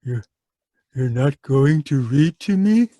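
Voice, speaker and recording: A man's voice: mid-range voice, lively intonation, takes it slowly, warm tone, no echo. A man playing a childlike, violent asylum patient who whines and pleads, then turns menacing, in a radio drama. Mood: pleading. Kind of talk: live radio drama reading over video call.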